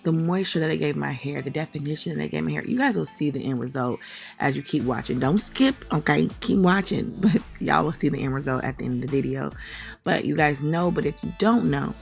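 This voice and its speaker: Low-key woman narrating a hair routine. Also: fairly quick, vocal fry, faint music behind. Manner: relaxed, candid, emphatic. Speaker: hair vlogger. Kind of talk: voice-over tutorial.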